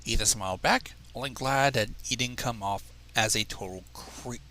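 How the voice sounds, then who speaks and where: A man's voice: medium pitch, normal speed, pushed and bright. A man reading fan fiction aloud on a livestream in a casual way, stumbling over lines and dropping into asides.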